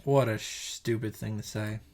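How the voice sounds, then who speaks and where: A man's voice: on the low side, fairly even intonation, measured pace, a little mellow. A man hosting a game reaction, reading visual novel text aloud and adding informal, sweary commentary. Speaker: video game reaction host. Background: game music.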